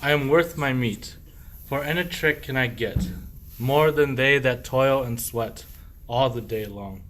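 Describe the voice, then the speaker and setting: A man's voice: mid-range voice, measured pace, fairly bright tone, close to an everyday voice. A man playing a sly, boastful, self-pitying sheep thief in a medieval verse radio play, with declamatory rhyming speech.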